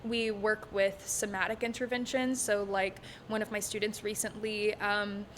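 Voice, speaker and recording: A woman's voice: mid-range voice, flat delivery, moderate pace. Young woman, from Texas, chatty, laughs easily, stand mic, faint background noise. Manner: chatty, laughs often, many ums. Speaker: guest, music teacher. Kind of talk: casual interview conversation. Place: bright living room set. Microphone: stand mic in front.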